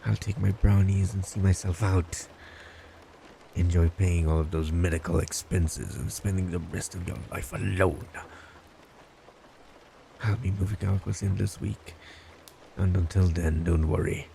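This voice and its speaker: Casting: male actor as smug obsessive stalker boyfriend for audio roleplay, dark mellow voice with unhurried delivery, blunt, creepy, faint noise behind. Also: low voice, fairly even intonation, creaky. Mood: sulky. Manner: blunt, condescending, teasing. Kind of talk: boyfriend roleplay audio, intimate scripted monologue.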